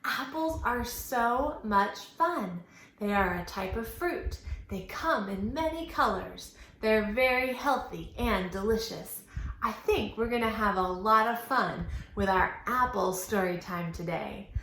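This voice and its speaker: A woman hosting a children's storytime lesson, speaking in an animated, sing-song, instructional way and enunciating carefully for young children. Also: mid-range voice, unhurried. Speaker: children's library storytime host. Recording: roomy echo, faint background noise.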